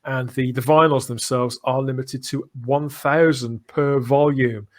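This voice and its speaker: Male podcast host, mid-pitched, chatty and discursive, with keen fan enthusiasm. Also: fairly even intonation, unhurried.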